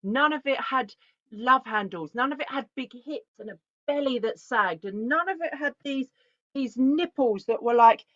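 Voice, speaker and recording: A woman's voice: high-pitched, normal speed, dry recording. Chatty woman, dull call audio. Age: 40s. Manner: chatty, animated, self-interrupting. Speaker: artist giving a talk. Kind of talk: casual conference presentation.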